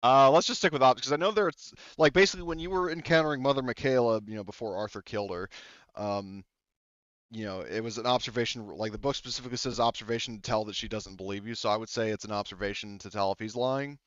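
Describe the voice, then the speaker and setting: A man's voice: mid-range voice, quick pace, a bit bright, dry recording, highs cut off. A man running a tabletop game as game master, rambling and thinking aloud with many fillers.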